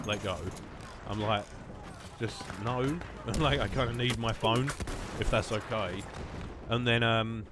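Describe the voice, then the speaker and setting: Low-voiced southern English man over game noise. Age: late 20s. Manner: sarcastic, swearing banter. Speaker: game livestreamer. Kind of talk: casual rambling chat while gaming.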